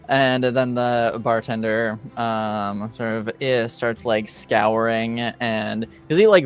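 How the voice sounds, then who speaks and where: A man narrating as game master of a tabletop game, halting and full of fillers. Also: medium pitch.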